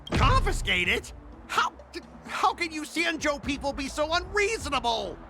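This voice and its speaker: A man's voice: very high voice, big pitch swings, normal speed, bright, forceful tone, soft background music, full character voice. An actor playing a blustering male cargo company worker, flustered and defensive, in game voice acting.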